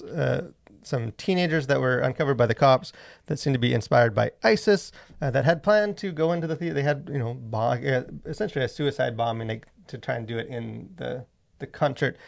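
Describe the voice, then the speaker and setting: A man hosting a casual podcast discussion, talking in a halting, rambling way full of fillers. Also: mid-range voice, gravelly. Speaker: podcast host.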